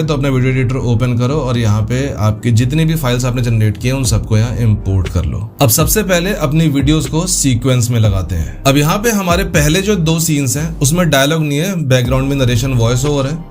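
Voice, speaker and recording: A man hosting a casual step-by-step software tutorial, explaining informally and thinking aloud as he demonstrates. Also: medium pitch. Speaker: tutorial host.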